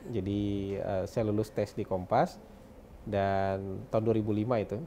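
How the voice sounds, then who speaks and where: A man's voice: fairly low pitch, steady tone, measured pace, warm tone, slight background noise. A man in his forties from West Sumatra with a Minangkabau-tinged accent, a political consultant talking reflectively and chuckling often in an interview. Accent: Minangkabau-tinged Indonesian. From West Sumatra, Indonesia. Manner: reflective, chuckles often, mixes in English words. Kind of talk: relaxed interview chat.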